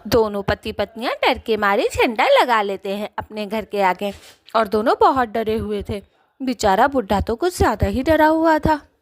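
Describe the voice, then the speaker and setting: High-voiced woman narrating a story. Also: lively intonation, normal speed, dry recording. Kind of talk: scripted cartoon story narration.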